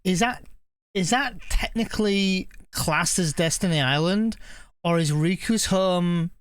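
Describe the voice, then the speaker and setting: A man's voice: high voice, measured pace, smooth, dry recording. A man giving casual live commentary as a streamer watching game cutscenes. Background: game music.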